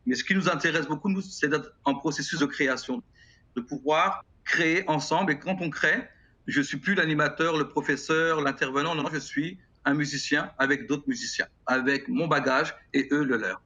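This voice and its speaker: Earnest French man with a husky, bright voice. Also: a little high. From France. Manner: earnest, halting, many repeated words. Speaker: guest, music educator. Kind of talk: TV interview answers. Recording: slightly dull broadcast sound.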